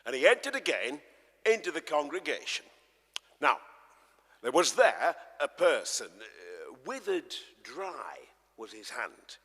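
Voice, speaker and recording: Male narrator, dramatic scripture storytelling, lively swinging pitch, emphatic swells and hushes, long church reverb. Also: takes it slowly, slightly rough. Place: large church, live performance.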